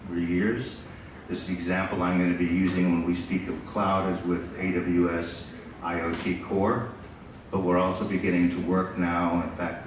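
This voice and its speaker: A middle-aged American man giving a conference presentation in a relaxed, conversational way, with frequent fillers. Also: sits low. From United States. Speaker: business development speaker. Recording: echoey hall, distant mic, background noise.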